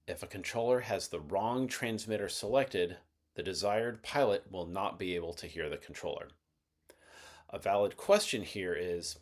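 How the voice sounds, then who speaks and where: Low-voiced man narrating an explainer. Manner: measured, methodical, explanatory. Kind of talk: scripted instructional narration.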